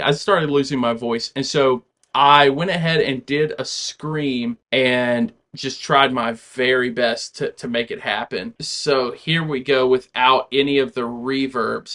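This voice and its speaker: A man's voice: medium pitch, a bit bright. A man casually explaining how he produced and recorded a song at home, in a loose, chatty way. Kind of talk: casual tutorial walkthrough.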